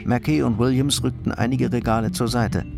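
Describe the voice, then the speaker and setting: Casting: male narrator for crime radio play, sober, gravelly, mid-pitched. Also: fairly even intonation, fairly quick, music in the background. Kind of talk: scripted crime drama narration.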